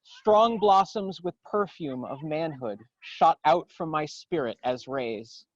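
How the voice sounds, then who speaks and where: A man gives a dramatic poetry recitation outdoors, in solemn, declamatory, measured phrases. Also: a little high, animated, no room sound, muffled recording. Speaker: poetry reader. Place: outdoors in a garden.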